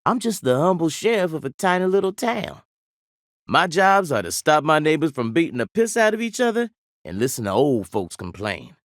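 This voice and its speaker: A man's voice: on the high side, big pitch swings, normal speed, no room sound, studio quality. A man playing a folksy, jovial small-town sheriff in a video game, with a rambling Southern US drawl. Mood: jovial, yielding.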